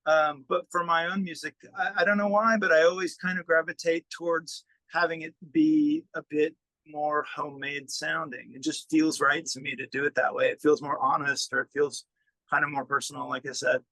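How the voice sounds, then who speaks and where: A man with a bright, fairly high voice chats informally as a musician being interviewed. He is self-effacing and easygoing in his wording, and the sound is close and dry. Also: flat delivery, normal speed, dry recording, low-quality recording. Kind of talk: casual interview chat.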